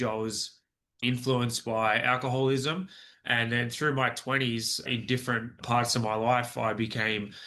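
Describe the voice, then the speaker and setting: Australian man in his forties, bright tone. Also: mid-range voice. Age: 40s. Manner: earnest, rambling with many restarts. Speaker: guest. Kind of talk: podcast interview, personal story.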